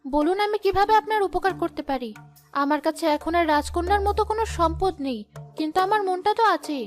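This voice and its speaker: Young cartoon princess, played by a woman: very high voice, gentle, earnest, polite, grateful. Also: a little fast, faint music behind. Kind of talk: animated fairy-tale dialogue.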